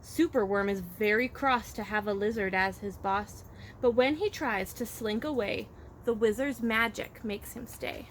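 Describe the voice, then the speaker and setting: A woman reading a children's picture book aloud as a childcare storyteller, expressive and rhythmic with the rhymes. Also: mid-range voice, a little slow. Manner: expressive, rhythmic rhyming delivery. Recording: faint background noise.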